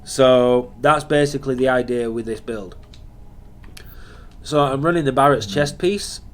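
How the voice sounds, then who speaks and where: A man's voice: mid-range voice, unhurried. A man hosting a gaming channel, talking through a build in casual commentary, sounding nasal and sniffly from hay fever. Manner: chatty, explaining step by step.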